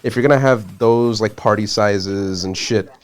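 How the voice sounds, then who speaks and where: A man's voice: on the low side, moderate pace. Man, casual co-host chat, lots of swearing and "like", faint music behind. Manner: swears often, heavy use of "like". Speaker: podcast co-host.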